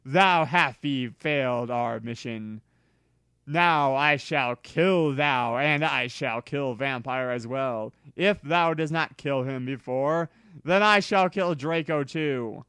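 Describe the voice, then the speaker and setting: Guy doing a nasty dark wizard villain from a story, slow pompous threats, dry close sound. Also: medium pitch, smooth, dry recording. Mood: menacing. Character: evil, cackling dark wizard lord with archaic threats.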